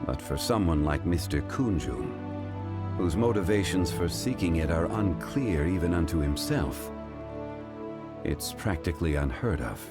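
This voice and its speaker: An actor playing a calm, formal man with an ancient, scholarly air who speaks in a measured, unhurried way. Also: deep voice, loud music behind the voice.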